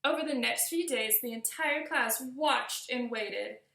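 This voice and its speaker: A woman with a high, creaky voice reads a picture book aloud to young children. The delivery is expressive storytelling, directed at the listeners. The room adds a noticeable echo. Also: measured pace. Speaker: teacher reading aloud. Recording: roomy echo.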